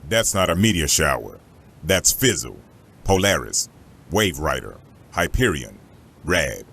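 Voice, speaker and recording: A man voicing a stoic robot crewman in an animated sci-fi story, grave and matter-of-fact. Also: a bass voice, measured pace, vocal fry, slight background noise, exaggerated voice. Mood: grave, calm. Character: stoic, deep-voiced male robot crewman. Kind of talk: animated sci-fi motion comic acting.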